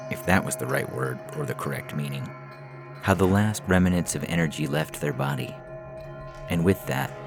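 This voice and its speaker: A man's voice: rumbling low, creaky. A man reading a scripted science fiction story as a narrator, in the manner of an audio fiction podcast. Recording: music playing behind the voice. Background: instrumental music.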